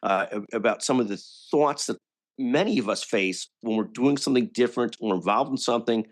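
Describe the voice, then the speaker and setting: A man's voice: mid-range voice, brisk. A man hosting a business podcast who introduces his guest with enthusiasm and often restarts his sentences with repeated words. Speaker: podcast host. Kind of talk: podcast interview.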